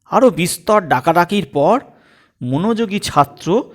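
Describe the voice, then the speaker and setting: A man's voice: high voice, animated, a little slow. A man narrating a comic audio story in a dramatized reading style. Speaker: story reader.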